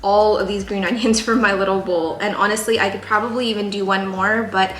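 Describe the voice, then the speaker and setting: A woman's voice: medium pitch, steady tone, a little fast. A young woman from California hosting a casual cooking tutorial, chatty and rambling with lots of fillers, recorded in a home kitchen. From San Jose, California. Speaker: cooking video host. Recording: echoey kitchen with cooking clatter. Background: pots, dishes and knife clicks.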